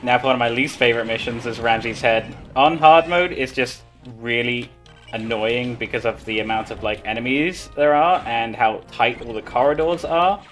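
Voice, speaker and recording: A man plays a game live and talks through it in a casual, chatty way. His pitch is average. There is faint music behind his voice. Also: moderate pace, a bit bright. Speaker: speedrunner playing live. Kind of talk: casual chat while playing.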